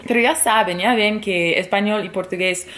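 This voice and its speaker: A woman's voice: medium pitch, moderate pace, fairly bright tone. A young woman with an American English accent and English as her first language, talking casually to camera on her vlog from a living room sofa, chatty and repeating words for emphasis. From United States. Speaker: vlogger.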